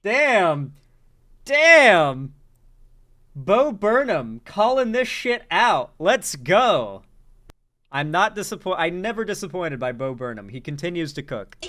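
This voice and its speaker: A man hosting a casual commentary channel, reacting to a video in a conversational way with excited outbursts. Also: sits high, takes it slowly. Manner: conversational, exclaims in excited bursts.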